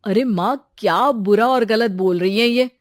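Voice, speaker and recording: A woman voicing a calm, sensible young man in a cartoon, the would-be groom, who speaks up persuasively for the bride's idea. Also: mid-range voice, a little slow, dry recording, studio quality, lightly acted. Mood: earnest. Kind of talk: cartoon voice acting.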